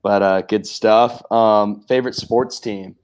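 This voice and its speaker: A man's voice: fairly low pitch, steady tone, slow pace, darkish tone, clear, resonant tone. A man with an American accent hosting a casual interview in a laid-back, affirming way. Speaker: interview host.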